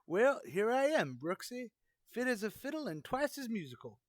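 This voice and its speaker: A man's voice: very high-pitched, sing-song, moderate pace. Jaunty, folksy old suitor played by a man. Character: spry, cocky, folksy elderly male suitor. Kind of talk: old-time radio situation comedy acting.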